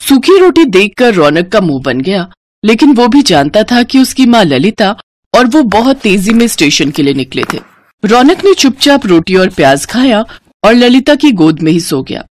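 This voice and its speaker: A woman narrating a scripted moral story in an expressive storytelling manner. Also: medium pitch, normal speed, dry recording.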